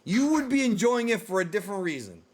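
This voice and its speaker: A man with a notably high-pitched voice chats casually as a show host. His delivery is animated and slangy, like an enthusiastic fan talking with a friend. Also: fast talker, breathy edge. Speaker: host of a video game movie discussion show. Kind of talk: casual, excitable fan chat.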